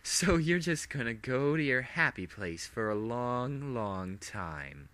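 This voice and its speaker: A man's voice: mid-range voice, very animated, slow, a bit bright, pitched above the performer's own voice. A man voicing an insane female pony villain princess in a story reading, gloating and cooing with theatrical menace. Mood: smug menace.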